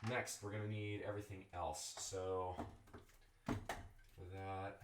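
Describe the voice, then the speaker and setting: A man's voice: low-pitched, little pitch movement, slow, heavy background noise. A man from Texas with a Southern accent, chatting casually and rambling as he cooks in his home kitchen. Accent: Southern American. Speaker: streamer cooking chili. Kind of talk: casual live-stream chat while cooking.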